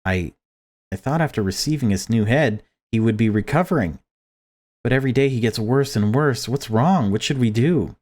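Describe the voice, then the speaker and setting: A man's voice: mid-range voice, normal speed, a little mellow, natural voice. Horror visual novel dad read by a man: weak-willed, anxious, hesitant, pleading.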